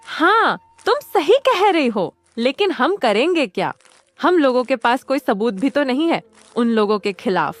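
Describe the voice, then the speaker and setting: A woman's voice: high-pitched, big pitch swings, a little slow, faint music behind. A woman voicing a level-headed, practical young friend who urges others on in a cartoon story. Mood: doubtful. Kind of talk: dramatised cartoon story dialogue.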